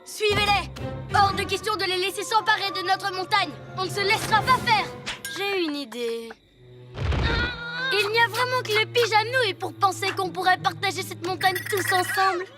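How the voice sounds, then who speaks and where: Very high-pitched gloating cartoon ninja villain. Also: female voice, moderate pace. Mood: defiant, plotting. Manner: theatrical, gloating, sarcastic. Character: boastful scheming young ninja villain, mischievous and bossy. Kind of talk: animated children's cartoon acting. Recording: loud music behind the voice.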